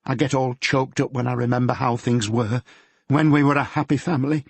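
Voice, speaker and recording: A man voicing a grieving middle-aged mother with a Yorkshire accent, who talks in a gentle, fretful and homely way. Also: mid-range voice, fairly even intonation, a little fast, gravelly, husky, voice pushed up. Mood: sorrowful. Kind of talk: audiobook character voice.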